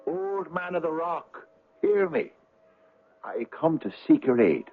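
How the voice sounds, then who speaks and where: An actor playing a dreamy, idealistic, poor father, fervent and excitable, in an old-time radio drama. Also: on the high side, takes it slowly, heavily acted. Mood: awed, solemn. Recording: tinny, noisy old radio recording.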